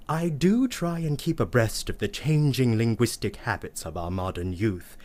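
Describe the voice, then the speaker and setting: An actor playing a prissy, verbose uncle with a pompous, ornate manner in a comic radio play monologue. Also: male voice, medium pitch, darkish tone. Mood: scandalized. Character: prissy, verbose, scandalized uncle telling family tales.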